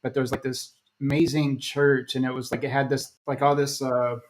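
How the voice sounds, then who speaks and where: A man's voice: medium pitch, little pitch movement, brisk, a little hiss or hum. An American man hosting an interview, rambling casually with restarts and fillers. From United States. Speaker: host.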